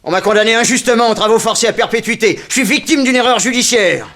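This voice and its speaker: An actor playing a blunt, wrongly jailed middle-aged convict in a 1960s French radio play. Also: male voice, very high-pitched, quick pace, a bit bright. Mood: indignant. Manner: blunt, defiant, aggrieved.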